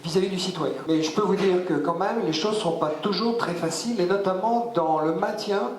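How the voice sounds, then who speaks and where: A man answering off the cuff as a local official at a public debate, halting and repeating words, with emphatic asides. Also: high voice, a crowd in the background. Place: public meeting hall. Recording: echoey hall, faint background ambience.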